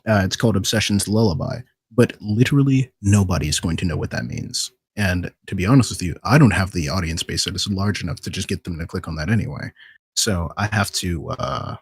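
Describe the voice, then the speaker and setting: Deep gravelly guy on a podcast, kinda husky, just talking informally, dry sound. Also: a little fast, no echo. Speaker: podcast guest, voice actor and video maker. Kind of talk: casual panel talk.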